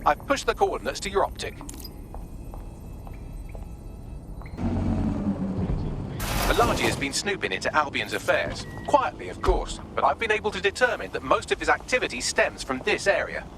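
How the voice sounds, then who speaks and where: A man's voice: fairly high pitch, sing-song, quick pace, creaky, few pauses, background noise. A man playing a witty, sardonic AI assistant in a video game, with a dry, articulate, sarcastic manner. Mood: dry teasing. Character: witty, sardonic male AI assistant briefing resistance operatives. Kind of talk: video game mission briefing.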